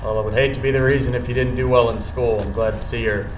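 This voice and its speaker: An actor on stage playing an earnest, wounded young working-class boyfriend, plain-spoken and intense. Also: male voice, mid-range voice, fairly even intonation, fast, natural voice. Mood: teasing, skeptical. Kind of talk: live stage play acting. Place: indoor theatre stage, far from microphone. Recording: distant, echoey theatre recording with faint noise.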